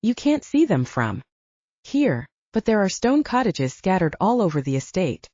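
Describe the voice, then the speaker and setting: A woman's voice: medium pitch, very animated, moderate pace, warm tone, no echo, highs cut off. A woman narrator voicing a protective, commanding male werewolf, an older brother, in an audiobook. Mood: reassuring, matter-of-fact.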